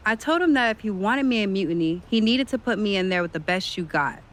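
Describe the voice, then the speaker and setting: A woman's voice: medium pitch, animated, quick pace, lightly acted. Confident, blunt woman wrestling star played by an actress. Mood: cool, assertive. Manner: assertive, dismissive. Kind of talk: video game cutscene dialogue.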